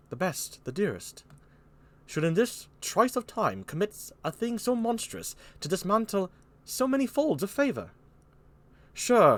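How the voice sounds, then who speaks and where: Gallant stage king, acted by a man: put-on British accent, cool, reasoning, varied intonation. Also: fairly high pitch. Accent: attempted British. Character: cool, gallant young king of France, questioning.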